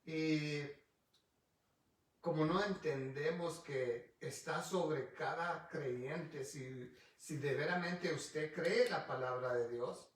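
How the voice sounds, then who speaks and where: A male pastor whose first language is English, teaching a Bible study in Spanish, halting and restarting often as he looks for words. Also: fairly high pitch. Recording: distant mic in a very echoey room.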